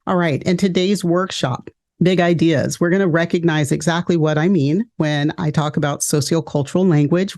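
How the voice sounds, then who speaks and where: A woman from California hosting an education webinar, speaking in a clear, measured, list-like way. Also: deep voice, mellow. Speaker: education consultant and podcast host. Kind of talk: scripted webinar presentation.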